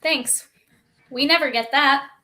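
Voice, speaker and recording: A woman playing a defiant, quick-witted child spacewalker in a theatrical script reading, flustered yet argumentative. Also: sits high, pushed and bright, full character voice. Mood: bluffing. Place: remote online stream.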